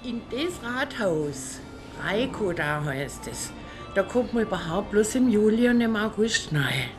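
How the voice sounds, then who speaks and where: A woman's voice: medium pitch, sing-song, measured pace, music in the background. An actress playing a chatty, curious, teasing provincial woman with a Swabian accent in a radio drama. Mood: musing.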